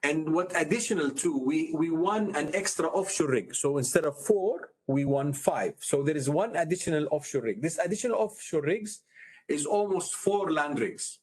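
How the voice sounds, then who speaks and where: A man's voice: a little high, expressive, moderate pace, a little mellow, breathy edge. A man with an Arabic accent answering questions as a company executive on an earnings call, often repeating phrases for emphasis.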